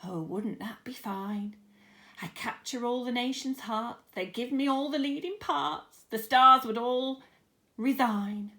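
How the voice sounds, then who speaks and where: A woman's voice: a little high, slow, bright, forceful tone, heavily acted. A woman voicing a vain, cruel aunt from a storybook in a pompous, theatrical manner. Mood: preening, grand. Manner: pompous, theatrical, self-adoring.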